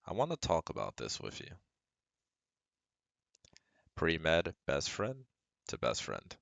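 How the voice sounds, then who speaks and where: A man's voice: extremely low pitch, gravelly, fairly bright tone, no echo, exaggerated voice. A young man playing a caring, protective best friend and psychology student in a scripted ASMR roleplay, speaking earnestly and reassuringly to the listener. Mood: concerned, gentle. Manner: reassuring, earnest, talks directly to a close friend.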